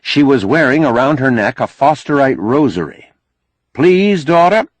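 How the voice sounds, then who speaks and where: A male narrator reads a story in a mid-pitched voice. His intonation moves widely and expressively. The sound is very dry and close. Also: lively intonation, moderate pace, dry recording. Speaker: audiobook narrator.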